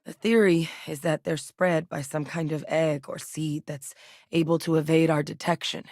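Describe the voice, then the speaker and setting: A game soldier scientist lady, deep-ish voice, serious n resolute, clearly spoken, no room sound. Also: fairly even intonation, normal speed, darkish tone, no echo, studio quality. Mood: serious, businesslike. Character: resolute female military scientist and soldier, earnest, duty-bound. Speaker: video game voice actor. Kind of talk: acted game dialogue.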